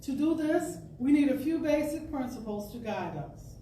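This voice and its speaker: A woman giving an expressive reading of an inspirational talk, like a storyteller, with deliberate phrasing and emphatic pauses. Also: high voice. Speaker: storyteller and speaker at a spiritual center. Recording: distant roomy pickup with background noise.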